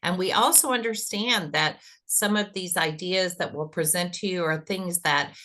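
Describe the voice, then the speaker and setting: A woman's voice: fairly low pitch, moderate pace, pushed and bright. A woman with a Texas accent, speaking conversationally as a panelist on a webinar call. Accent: Texan. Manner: friendly, conversational, with fillers. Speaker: webinar panelist, content strategist.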